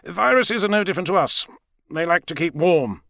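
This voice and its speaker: A man's voice: high voice, animated, normal speed, vocal fry, a bit bright, no room sound, clean studio sound, pitched above the performer's own voice, exaggerated voice. A man voicing an assured male doctor who explains things confidently in an audiobook. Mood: assured, explaining.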